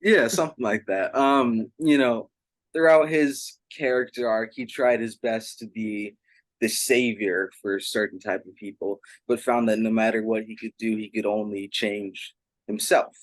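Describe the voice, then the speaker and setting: A man working as an interviewer, asking long, earnest questions in a measured conversational way. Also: on the low side, fairly even intonation, no room sound, rough recording.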